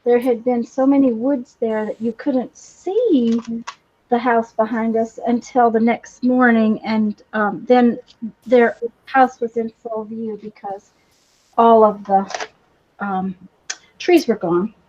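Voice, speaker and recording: A woman's voice: fairly high pitch, steady tone, slow, a little mellow. A woman chatting casually in a hesitant, rambling way. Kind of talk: casual chat. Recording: slightly dull, lo-fi sound.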